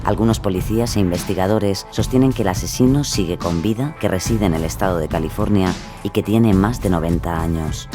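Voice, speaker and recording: Low-voiced male narrator over music. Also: fairly quick. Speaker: podcast narrator. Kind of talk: scripted documentary narration. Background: music under the voice.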